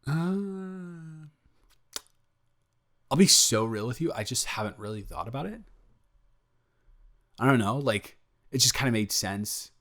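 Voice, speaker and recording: A man's voice: mid-range voice, animated, measured pace, pauses often, fairly bright tone. A man playing a sheepish, good-natured roommate in an improvised roleplay audio, talking casually with hesitant, stammering repeats. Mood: flustered, earnest. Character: sheepish, awkward, good-natured male roommate, secretly smitten.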